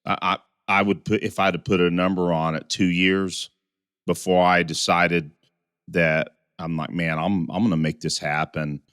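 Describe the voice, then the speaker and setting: A man's voice: sits low, little pitch movement, moderate pace, a bit bright, clean tone, no echo, studio quality. A man co-hosting a podcast in casual chat, his voice still scratchy from a recent cold, often repeating and restarting words. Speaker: podcast co-host.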